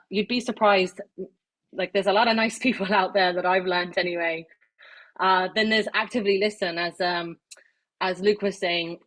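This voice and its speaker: A woman's voice: medium pitch, fairly bright tone. A woman giving an informal online presentation, chatty, with many fillers and restarts. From not Irish, living in Ireland. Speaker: webinar speaker. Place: online webinar, video call.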